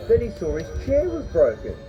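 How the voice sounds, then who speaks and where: A woman reading a scripted children's storybook tale in an expressive, dramatic storytelling manner. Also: medium pitch, a little fast, muffled recording. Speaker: storyteller in a recorded fairytale at a children's attraction. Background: loud music.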